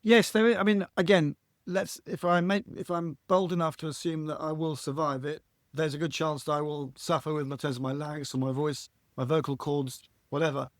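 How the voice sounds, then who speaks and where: A man with a husky voice, a podcast guest talking reflectively and earnestly, often restarting his phrases. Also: on the high side, fairly even intonation, a little fast, no echo, studio quality. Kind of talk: reflective conversational interview.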